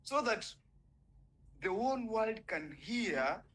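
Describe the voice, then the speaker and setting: A man's voice: high voice, very animated, slow pace, fairly bright tone. A young man with a Kenyan accent, giving an impassioned, indignant speech in an online audio room. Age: young adult. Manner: fiery, indignant, swearing. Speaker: caller in an online audio space.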